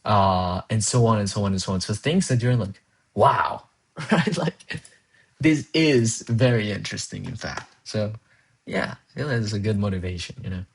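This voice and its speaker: A man's voice: on the low side, expressive, moderate pace, vocal fry, breathy edge. A young man from Colombia whose first language is Spanish, chatting casually while coding on a live stream, rambling with fillers and restarts and laughing now and then. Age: young adult. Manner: rambling, thinks aloud with many fillers and restarts; laughs often, reads chat aloud. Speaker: livestreaming programmer.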